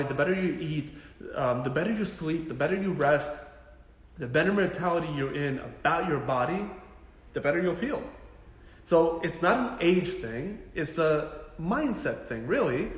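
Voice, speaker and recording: Male physio host, slightly high voice, informal and punchy advice, repeats points for emphasis, recorded in a reverberant room. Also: measured pace. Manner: animated, blunt, jokey, emphatic repetition. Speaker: physical therapist hosting a live Q&A. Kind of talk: casual informal health advice, answering viewer questions.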